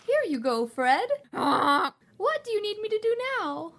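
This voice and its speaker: A woman voicing a timid, squeamish, anxiously obedient lady with a hesitant, whimpering delivery in a dark comedy audio drama. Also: very high-pitched, very animated, unhurried, exaggerated voice. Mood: meek, nervous.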